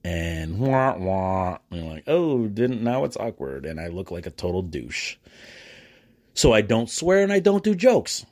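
A middle-aged man with an American accent and a fairly low voice talks casually on a solo podcast. He is chummy and animated, slipping into quoted exchanges as he tells his stories. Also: a little slow. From United States. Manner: animated, self-describedly chummy and jokey. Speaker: podcast host.

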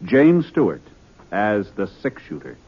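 A man's voice: on the low side, huge pitch swings, unhurried. An American man announcing a 1950s radio drama in a scripted, dramatic style. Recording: muffled old radio broadcast over music. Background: music.

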